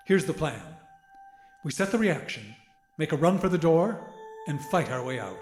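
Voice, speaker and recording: Determined, apologetic grandpa played by a male actor, fairly high male voice, echoey room, radio drama lead with a desperate plan. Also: lively intonation, normal speed, soft background music, natural voice. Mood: firm. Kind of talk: audio drama acting.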